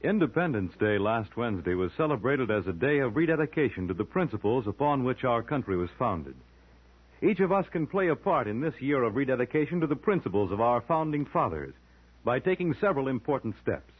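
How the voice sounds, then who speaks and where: An American male radio announcer reads formally from a script with wide, swooping intonation. His voice is husky and comes through a thin, tinny vintage recording. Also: mid-range voice, big pitch swings, brisk.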